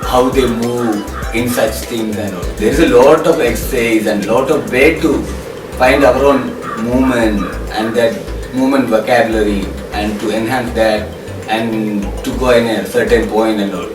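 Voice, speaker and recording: A man with an Indian accent informally explains as a workshop trainer, in halting, repetitive English phrasing. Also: medium pitch. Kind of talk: informal spoken explanation to camera. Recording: roomy, distant mic, music behind.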